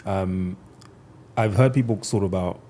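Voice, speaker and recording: A man's voice: low-pitched, moderate pace, mellow, a little hiss or hum. A man hosting a podcast, asking long, reflective questions in a thoughtful way. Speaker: podcast host. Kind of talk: conversational podcast interview.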